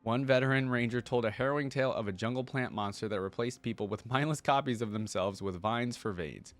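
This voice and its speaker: A man hosting a reaction video, reading a story aloud with animated delivery and breaking off for casual comments. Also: medium pitch, a little fast.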